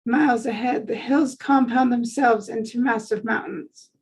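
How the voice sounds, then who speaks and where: A woman's voice: a little high, steady tone, normal speed, a little mellow, breathy edge, rough recording. A woman reading screenplay scene descriptions aloud as a narrator at a table read.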